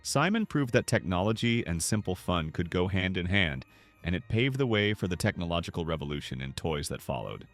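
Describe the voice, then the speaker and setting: A man reading scripted documentary narration in a measured, polished storytelling manner. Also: low voice, sing-song, soft background music.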